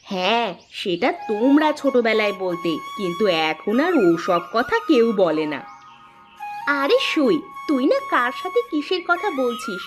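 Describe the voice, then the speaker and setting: An actress plays a gossipy village woman in a cartoon. Her fairly high voice is animated and teasing, with big swings in pitch over light music. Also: measured pace. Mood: gossipy, impatient. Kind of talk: animated cartoon dialogue in Bengali.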